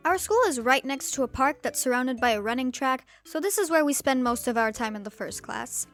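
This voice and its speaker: A woman's voice: sits high, animated, a little fast, fairly bright tone, faint music behind. A teenage girl from Turkey delivering a scripted vlog monologue in a wry, sarcastic way, with sudden comic outbursts. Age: teens. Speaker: YouTuber.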